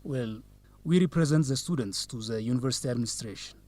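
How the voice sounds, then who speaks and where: A confident, teasing young man, played by an actor, a law student in an educational radio drama. His voice is medium in pitch, and he speaks in a courteous, measured way. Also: darkish tone, no echo, lightly acted. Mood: earnest. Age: young adult.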